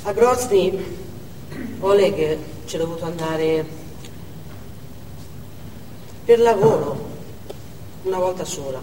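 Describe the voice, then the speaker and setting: A woman's voice: lowish voice, slow, long pauses, recorded from a distance. An actress playing an anxious Russian wife in a stage monologue, telling her story with explanatory asides. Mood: worried. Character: anxious Russian wife recounting her husband's dangerous trip. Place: theatre stage, distant microphone. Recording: noisy, echoey theatre hall from afar.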